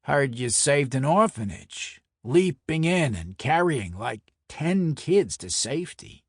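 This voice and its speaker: A man's voice: fairly high pitch, big pitch swings, slow, slightly rough, dry recording, heavily acted. A man performs a mob enforcer with a thick Brooklyn accent, in the manner of audio crime fiction. He is brash and mocking, throwing his words around with theatrical bluster. The sound is dry, with almost no room on it. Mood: jovial, needling. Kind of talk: dramatic audio fiction acting.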